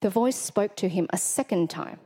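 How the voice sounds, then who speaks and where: A woman reading scripture aloud in church in a measured, formal way. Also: mid-range voice, expressive, warm tone, slightly rough. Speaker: scripture reader.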